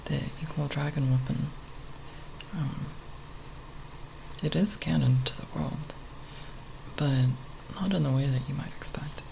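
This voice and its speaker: A woman with a very low, heavily creaky voice murmurs and whispers in an ASMR style. She muses haltingly and without hurry, like a nerdy ramble. Clear noise and plastic tapping sit behind her. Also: steady tone. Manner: halting, unhurried, nerdy musing. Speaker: ASMR video creator. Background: plastic bottle tapping.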